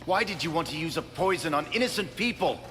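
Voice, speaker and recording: An actor playing a remorseful, earnest scientist in a video game cutscene, pleading and emphatic with a gravelly voice. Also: male voice, a little high, expressive, quick pace, sharp tone, roomy sound, in a large hall. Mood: pleading, urgent.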